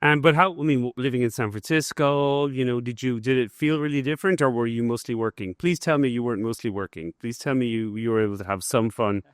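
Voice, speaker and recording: A man's voice: mid-range voice, fast talker, few pauses, smooth, no echo, studio quality. A man hosting a podcast interview at a conference, chatty and enthusiastic, with many fillers and restarts. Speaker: host, business school professor. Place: conference event.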